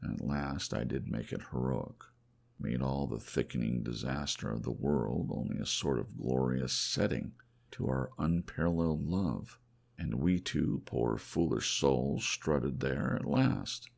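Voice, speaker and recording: A man reading literary fiction as an audiobook narrator, in a measured, expressive ASMR storytelling style. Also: very deep voice, vocal fry.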